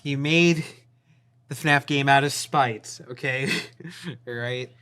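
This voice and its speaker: A man's voice: mid-range voice, slow, bright, forceful tone, clear, resonant tone. A man hosting a casual group chat about video games and movies, hesitantly steering everyone back on topic. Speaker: host of a group podcast.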